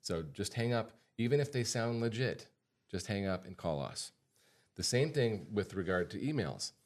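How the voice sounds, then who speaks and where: American guy podcast host, deep voice, casual chat, repeats stuff to drive it home. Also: lively intonation, normal speed. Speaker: podcast host and financial advisor.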